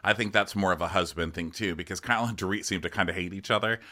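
A man co-hosting a comedy recap podcast, campy and crude, with dry asides between shrill impressions. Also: fairly even intonation, fast talker, sharp tone. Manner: campy, does shrill impressions of cast members. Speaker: podcast co-host.

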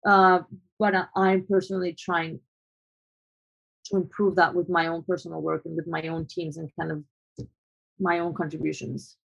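A woman's voice: fairly low pitch, flat delivery, normal speed, creaky, pauses often, slightly rough, highs cut off. A young adult woman photographer from Colombia, answering interview questions in a thoughtful, hesitant way with frequent restarts.

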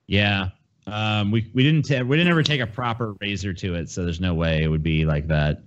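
A man with a low voice co-hosts a casual podcast. He talks in crude, profane jokes. The recording is slightly muffled and lo-fi. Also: a little fast. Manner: profane, joking. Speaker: podcast co-host.

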